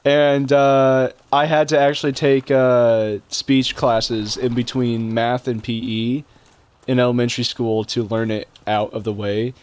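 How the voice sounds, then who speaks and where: A man's voice: mid-range voice, little pitch movement, a little slow, a little mellow, clean tone. A young man hosting a casual gaming chat, joking and swearing, with a speech impediment that mixes up his R and W sounds. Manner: joking, swears a lot, laughs often. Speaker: host playing a game.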